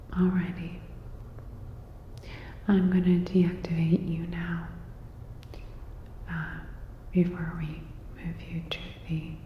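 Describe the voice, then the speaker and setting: A woman whispering softly and gently in an ASMR sci-fi roleplay as a calm, caring robot repair technician, with a dull, reverberant sound. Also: lowish voice, a little hiss or hum. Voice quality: soft, breathy whisper.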